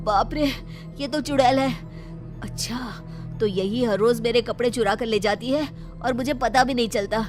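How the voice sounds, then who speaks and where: A woman's voice: a little high, moderate pace, music in the background, pitched above the performer's own voice, natural voice. A woman voicing a worried but crafty young washerman boy, talking anxiously to himself in a cartoon story. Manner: anxious, self-talking, exclamatory. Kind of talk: Hindi cartoon story acting.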